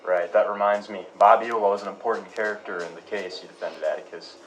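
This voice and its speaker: A man's voice: lowish voice, steady tone, quick pace, slight background noise, natural voice, rough recording. A man playing a polite, curious radio show host in an acted interview, conversational and prompting. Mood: curious, probing.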